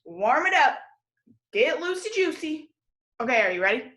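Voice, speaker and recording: A woman's voice: high voice, very animated, moderate pace, fairly bright tone. An American woman in her thirties teaching young children as a kindergarten teacher, encouraging and enunciating with exaggerated care in a call-and-repeat drill.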